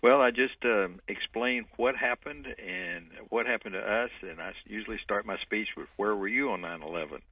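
A man's voice: on the low side, moderate pace, hardly any pauses, no echo, recorded from a distance. A man with a Texan accent, speaking on a radio interview as a guest speaker, telling his story in an easygoing way. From Texas. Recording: dry tinny phone line.

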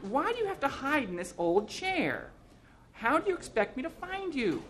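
A man's voice: very high voice, very animated, normal speed, fairly bright tone, voice pushed up, full character voice. A man voicing a nagging, impatient middle-aged wife in a storybook, firing demanding questions at her husband.

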